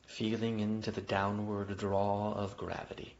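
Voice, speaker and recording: A man guiding a yoga nidra meditation, speaking in gentle, invitational phrases with long pauses. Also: on the low side, completely flat delivery. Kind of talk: guided meditation narration. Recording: dull, muffled lo-fi audio.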